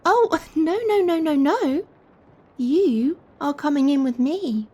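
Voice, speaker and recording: A woman playing a gentle, possessive giant vampire in an ASMR roleplay, coaxing and softly commanding the listener. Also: high-pitched, animated. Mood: teasing, possessive. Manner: slow, coaxing, softly commanding, breathy sighs and chuckles.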